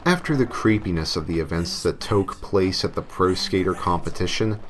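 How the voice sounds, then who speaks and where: A man's voice: sits low, expressive, moderate pace, a little hiss or hum. A man narrating a scripted comic horror story in a theatrical way, with emphatic delivery. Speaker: creepypasta narrator. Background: music.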